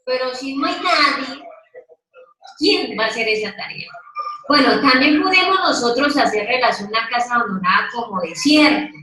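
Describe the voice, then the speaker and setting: A woman's voice: sits high, animated, pushed and bright, slight background noise. A woman leading a Bible study, reading scripture and drawing out her listeners with questions. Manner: slow, questioning, coaxing listeners to take part. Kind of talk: interactive Bible teaching. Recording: echoey room, mic at a distance.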